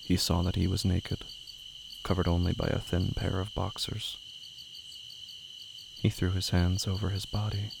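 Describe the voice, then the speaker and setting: Man, low voice, heavy vocal fry, flat intonation, slow ominous horror narration, crickets and clear background noise. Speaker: horror fiction podcast narrator.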